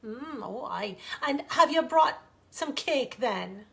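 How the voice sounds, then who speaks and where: A woman's voice: high voice, animated, slow, creaky, fairly bright tone, pitched above the performer's own voice, full character voice. A woman voicing a grand, whimsical fairy queen in a storybook, with regal, emphatic, old-fashioned phrasing. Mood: coaxing.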